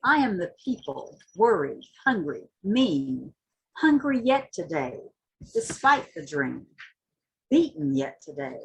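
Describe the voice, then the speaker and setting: A woman giving a dramatic, impassioned poetry reading, with emphatic, deliberate phrasing and a husky voice. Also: lowish voice, sing-song, rough recording. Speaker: reader at a community literary read-in. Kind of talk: introduction and dramatic poetry recitation.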